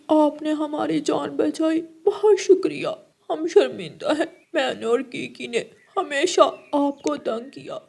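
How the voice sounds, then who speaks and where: A woman's voice: high voice, vocal fry, a little mellow, exaggerated voice. A woman voicing a grateful, ashamed young male bird in a children's cartoon, earnest and apologetic. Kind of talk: children's cartoon voice acting in Hindi.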